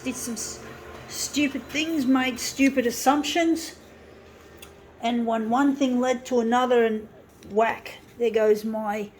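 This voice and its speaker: A woman's voice: medium pitch, a little hiss or hum. A woman in her fifties talking in a rambling, self-deprecating monologue, growing frustrated and swearing as she goes. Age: 50s. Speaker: vlogger. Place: indoors, room with a copier.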